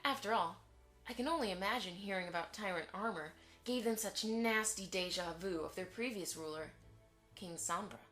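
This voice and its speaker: A woman's voice: mid-range voice, moderate pace, fairly bright tone, soft background music, close to an everyday voice. A woman playing a polite, chatty pony mother who keeps the peace in an audio drama. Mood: conversational, pointed.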